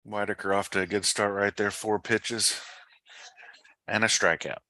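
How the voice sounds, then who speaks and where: A man's voice: lowish voice, flat delivery, measured pace, pushed and bright, dry recording. A man giving live baseball play-by-play commentary in clipped, matter-of-fact phrases.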